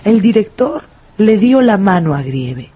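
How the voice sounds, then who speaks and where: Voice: mid-range voice, very animated, measured pace, mellow, breathy edge. A narrator reading a scripted story for a radio drama. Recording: music under the narration.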